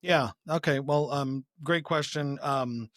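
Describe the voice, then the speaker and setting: Halting male podcast guest, dry sound. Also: fairly high pitch, a bit bright, no room sound. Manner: halting, anecdotal, thinking aloud. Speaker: podcast guest, company chief executive. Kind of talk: casual interview answers.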